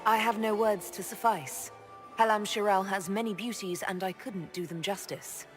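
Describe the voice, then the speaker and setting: A woman's voice: mid-range voice, fairly even intonation, moderate pace, soft background music, lightly acted. An actress playing a wary, direct female leader in a fantasy game, composed and concise. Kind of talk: video game dramatic acting.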